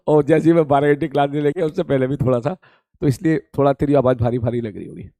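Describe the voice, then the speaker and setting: Hoarse guy physics teacher, kinda high voice, chatty live class, dry close sound. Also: fairly quick, warm tone, no echo. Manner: chatty, repeats greetings and calls students "beta".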